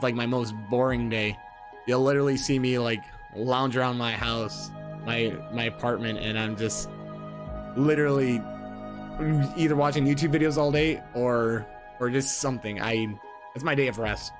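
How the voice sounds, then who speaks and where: A man in his twenties talks at a medium pitch, reading aloud and breaking off to comment. He rambles with lots of fillers and repeated words, often joking at his own expense. Music plays clearly beneath his voice. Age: 20s. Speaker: gaming streamer. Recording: music playing underneath.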